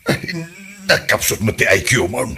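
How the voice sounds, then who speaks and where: A man with an Ilocano accent playing a grumbling, excitable old husband and driver in a comedy audio drama, exclaiming and complaining. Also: fairly low pitch, moderate pace, vocal fry, a crowd in the background.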